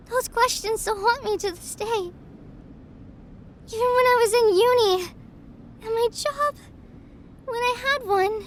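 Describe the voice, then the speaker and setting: A very high, girlish voice that takes its time with every phrase. A woman is acting out an anxious young woman in an audio roleplay, a devoted best friend who doubts herself. Her delivery is soft, halting and emotionally exposed. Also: a little hiss or hum. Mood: subdued, haunted. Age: young adult.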